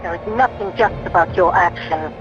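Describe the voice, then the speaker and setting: Man as a high-voiced young rebel pilot. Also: moderate pace, natural voice. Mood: defiant. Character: feisty, determined young rebel starfighter pilot. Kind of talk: scripted video game acting. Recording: muffled lo-fi game audio, music behind.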